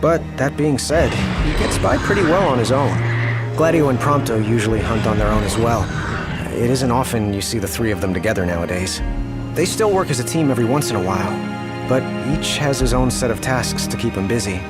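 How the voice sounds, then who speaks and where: A man's voice: medium pitch, lively intonation, fairly quick, close to an everyday voice. Man acting as young male game character, earnest, deferential, chatty, background music. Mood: sober. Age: young adult. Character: earnest, respectful young driver loyal to a returning king. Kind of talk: video game cutscene acting. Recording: music under studio dialogue.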